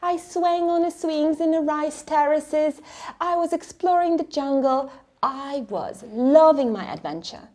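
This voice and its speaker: A middle-aged woman hosting a children's read-along, telling stories in an expressive, dramatic way that sometimes drops into a hush. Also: high-pitched, a little slow. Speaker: host of a children's read-along and craft show. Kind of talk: animated storytelling for children.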